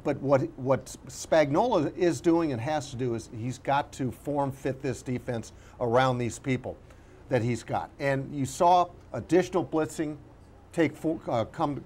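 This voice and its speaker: A man co-hosting a casual sports talk show, analysing in a halting way, searching for words and repeating himself. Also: mid-range voice, a little mellow, a little hiss or hum.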